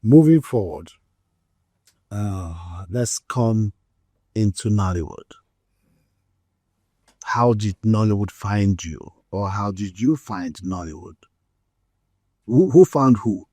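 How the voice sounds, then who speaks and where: A man's voice: lowish voice, lively intonation, slow pace, long pauses, warm tone, husky, dry recording, studio quality. A male host interviewing an actor, asking follow-up questions and talking directly to viewers.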